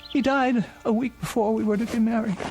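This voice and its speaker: An actress playing an insistent, lonely elderly woman in a vintage television drama, speaking in slow, halting phrases. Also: a little high, steady tone, mellow, lightly acted. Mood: anguished, wistful. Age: older. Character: imperious, lonely elderly spinster haunted by lost fiancé. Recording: vintage TV soundtrack, faint music behind.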